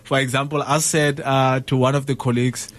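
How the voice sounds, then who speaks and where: Chatty male radio host, mid-pitched. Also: flat delivery, a little fast. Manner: loose and chatty, many fillers, laughs easily. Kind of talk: casual radio interview.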